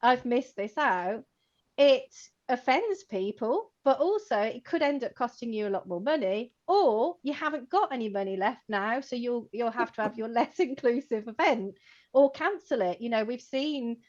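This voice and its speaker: A woman co-hosting an informal chat, talking in a chatty, animated way with lots of "like" and "you know" and laughing as she speaks. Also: on the high side, normal speed, highs cut off. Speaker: co-host, co-owner of a computing education company.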